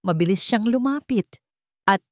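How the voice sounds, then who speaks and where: A woman's voice: medium pitch, animated, a little slow, a little mellow, no echo, studio quality. A woman narrator reading a scripted, dramatic love story.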